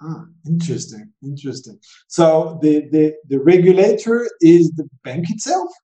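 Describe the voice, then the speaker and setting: A man hosting a podcast interview, with a lively, upbeat delivery that lifts sharply in pitch. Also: normal speed. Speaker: podcast host. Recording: roomy echo with faint music.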